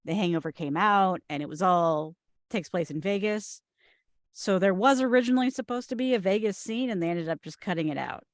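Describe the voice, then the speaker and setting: A woman's voice: mid-range voice, fairly quick, no room sound, studio quality. A woman chatting casually in a group discussion about film making.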